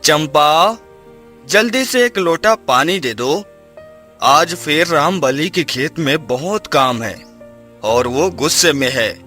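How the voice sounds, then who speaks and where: Man as a humble, weary farmer, slow-spoken. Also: on the high side, animated, bright, forceful tone, heavily acted. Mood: weary resigned. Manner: meek, earnest, pleading. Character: humble, weary, kind poor farmer husband. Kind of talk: acted Hindi moral storybook tale. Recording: music behind the voice.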